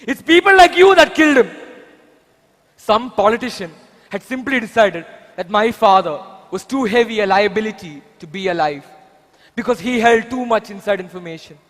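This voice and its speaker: A man plays a young vigilante bent on justice, with an Indian accent. His voice is strikingly high and rings through a reverberant hall. He delivers each line with deliberate, theatrical weight, as in a stage drama. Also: animated, fairly bright tone. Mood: bitter, grieving. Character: vengeful young male vigilante seeking justice for his murdered father. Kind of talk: amateur stage drama dialogue. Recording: echoey hall, long reverb.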